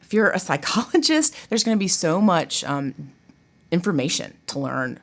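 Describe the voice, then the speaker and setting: A woman's voice: lowish voice, big pitch swings, moderate pace. A woman talking casually as an interview guest, chatty and emphatic, with plenty of conversational asides. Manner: chatty, emphatic, peppers talk with "you know" and "like". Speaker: theater professor and director, interview guest.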